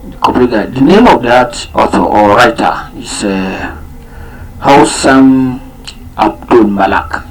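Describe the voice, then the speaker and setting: A man whose first language is Yoruba, giving an unscripted talk on a program, pausing often with fillers and repeating his key points. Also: mid-range voice, animated, slight background noise, rough recording. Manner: halting, many fillers and restarts. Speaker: program presenter.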